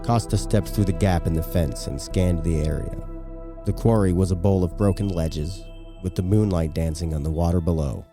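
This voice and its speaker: Deep-voiced male horror story narrator. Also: normal speed. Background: music.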